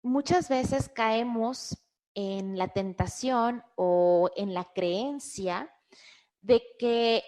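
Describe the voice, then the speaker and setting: A woman's voice: fairly high pitch, extremely slow, darkish tone, rough recording. Chatty woman doctor. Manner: conversational, emphatic, with frequent fillers and restarts. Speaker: physician giving a webinar. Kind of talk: health lecture to an online audience.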